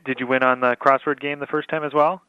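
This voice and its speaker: A man's voice: mid-range voice, little pitch movement, fast talker, smooth, no echo. A man hosting a radio interview, friendly and encouraging, chuckling along with his guest. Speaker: radio host. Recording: dull dry radio audio.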